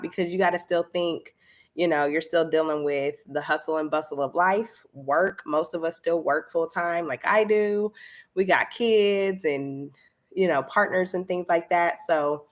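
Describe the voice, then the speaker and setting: Woman, 30s, low-pitched, chatty coach, slightly congested, easygoing live-stream chat. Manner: chatty, many fillers, laughs often. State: slightly stuffy nose. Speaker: candle business coach hosting a live stream.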